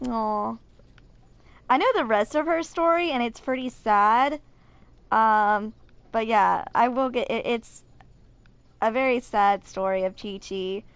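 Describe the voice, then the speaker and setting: A woman in her twenties giving casual, rambling gameplay commentary, sometimes halting over her words. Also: fairly high pitch. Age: 20s. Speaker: video creator.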